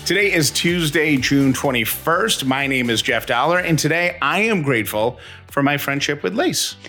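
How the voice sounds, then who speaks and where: A man's voice: on the high side, animated, moderate pace, bright, forceful tone, soft background music. A man co-hosting a podcast in casual, conversational banter. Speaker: podcast co-host.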